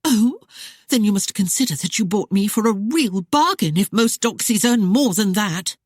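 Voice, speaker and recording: A woman voicing a proud young noblewoman who teases, pleads and accuses in a high, emotional voice. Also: expressive, moderate pace, a little mellow, slightly rough, no room sound, studio quality. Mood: coy.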